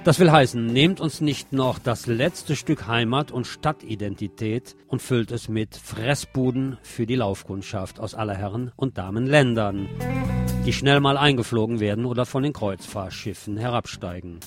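A man's voice: medium pitch, normal speed. A man reading scripted radio news and commentary in a wry, editorializing way. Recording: music bed under the voice.

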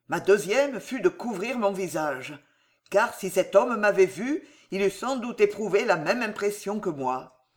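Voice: medium pitch, darkish tone, close to an everyday voice. A reader voicing a frightened, devoted court astrologer in an audiobook, grave and hesitant. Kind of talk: audiobook character reading.

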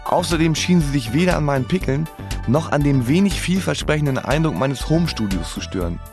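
A man's voice: a little high, expressive, fairly quick, music in the background. An actor playing an awkward, frustrated man who tells of his failed romances in a radio play. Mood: wry, bitter. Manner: sardonic in narration, stammering when flustered. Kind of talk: first-person radio play narration and dialogue.

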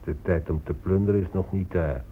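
An actor playing a calculating male explorer and commander in a radio drama, measured and formal. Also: deep voice, dark tone, close to an everyday voice. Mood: confiding. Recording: narrow, faintly noisy old radio recording.

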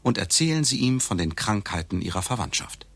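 A man's voice: lowish voice, very animated, fairly quick, fairly bright tone, slightly rough, dry recording, faint music behind, full character voice. A man playing an earnest, absurd advice narrator in a satirical radio play, instructing with solemn emphasis. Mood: earnest instruction.

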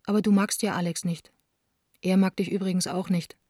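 A woman's voice: lowish voice, flat delivery, brisk, warm tone, no echo, studio quality, close to an everyday voice. An actress playing a candid, wounded young woman of the theatre, speaking her letters in an intimate, restrained way for a radio play. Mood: hurt, reproachful. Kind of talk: radio play monologue, letters read aloud.

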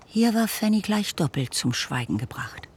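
A man narrating a classic romance novel for a radio play in a measured, articulate storytelling manner. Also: sits high, very animated, slight background noise. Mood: measured, observant.

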